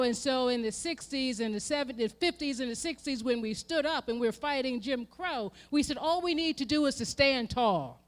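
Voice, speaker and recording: American woman preaching with emphatic repetition. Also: a little high. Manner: emphatic rhetorical repetition, swelling from hushed to forceful. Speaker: reverend speaking at a memorial event. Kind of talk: impassioned sermon. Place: live event before an audience.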